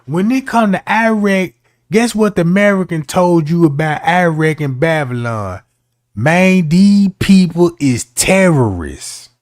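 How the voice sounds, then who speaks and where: A man's voice: a little high, animated, unhurried, a little mellow, dry recording, clean studio sound. A man giving casual commentary as a streamer, talking in a slangy, argumentative way.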